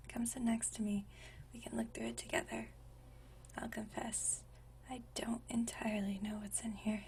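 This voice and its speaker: A woman playing a gentle, nostalgic century-old vampire in a whispered ASMR roleplay, speaking softly and intimately with unhurried, genteel old-fashioned phrasing. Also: on the high side, recorded from a distance, slight background noise. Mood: fond, nostalgic. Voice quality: soft breathy whisper.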